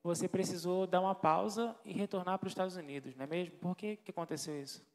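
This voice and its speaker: A man's voice: high-pitched, quick pace, a little mellow. A man playing a devout, affirming interviewer. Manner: devout, affirming, with frequent amens and praise. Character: devout male interviewer questioning the missionary. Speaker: interviewer in a church play. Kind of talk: staged interview, church theatre. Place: church hall. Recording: reverberant church hall.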